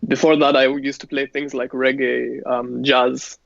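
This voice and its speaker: A man, a musician answering interview questions in a relaxed, unhurried way and laughing easily. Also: medium pitch, vocal fry, no echo, lo-fi sound. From Kenya. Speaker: musician guest.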